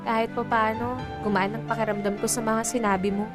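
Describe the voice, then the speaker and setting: A woman's voice: fairly high pitch, little pitch movement, fast talker, close to an everyday voice. A woman playing a sensitive, heartbroken teenage younger sister in a radio drama, plaintive yet polite. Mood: soothed, meek. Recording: clear music behind the voice.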